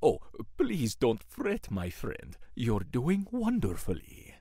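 A genteel gentleman host played by a man in a gothic horror radio drama. He is courteous, theatrical and quick to alarm, with a very animated, swooping delivery. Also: mid-range voice, breathy edge, no room sound, studio quality, heavily acted. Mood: courteous, reassuring. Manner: polite, old-fashioned, theatrical.

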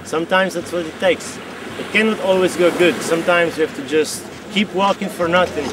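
A man's voice: high voice, fairly quick, far from the mic, poor audio. A man in his thirties on an expedition crew, telling the story frankly in lightly broken English. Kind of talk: documentary narration and field talk.

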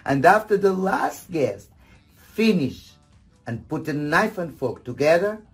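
A middle-aged man giving etiquette advice in a husky voice, theatrical and emphatic, often repeating phrases. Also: fairly high pitch, big pitch swings, measured pace, faint music behind. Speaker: etiquette host. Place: furnished sitting room indoors.

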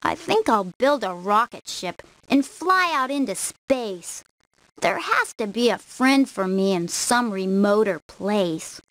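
A woman's voice: mid-range voice, expressive, takes it slowly, a bit bright, no room sound, rough recording. A woman voicing a lonely, earnest little boy in a children's storybook. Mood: hopeful.

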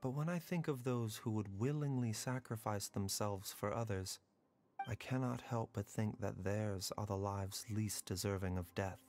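An actor playing a calm, wise young doctor who speaks in a measured, composed way. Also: male voice, lowish voice, a little hiss or hum, lightly acted. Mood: solemn.

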